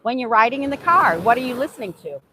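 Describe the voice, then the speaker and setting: A woman's voice: on the high side, big pitch swings, fast, bright, forceful tone, a little hiss or hum, rough recording. A woman from the United States whose first language is Hungarian, giving an informal motivational talk in an earnest way, repeating phrases and posing questions. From United States, Hungarian immigrant family. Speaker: English teacher giving a motivational self-improvement talk.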